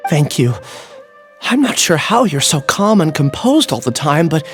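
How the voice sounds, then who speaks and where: An actor playing a gentle, troubled young man with amnesia who speaks hesitantly and uneasily. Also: a little high, big pitch swings, measured pace, soft background music. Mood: uneasy. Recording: game soundtrack behind.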